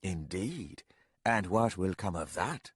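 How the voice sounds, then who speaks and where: A man voicing an old, bent castellan who speaks low, steady and patient. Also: slow, husky, dry recording, studio quality, exaggerated voice. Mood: quiet.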